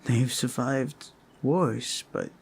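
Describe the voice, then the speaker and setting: Man playing a slow, earnest orc father. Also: medium pitch, breathy edge, full character voice. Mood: heartfelt, sorrowful. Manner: halting, earnest, reflective. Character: Omar, anxious, devoted orc father, grieving for kids. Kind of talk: roleplay audio drama.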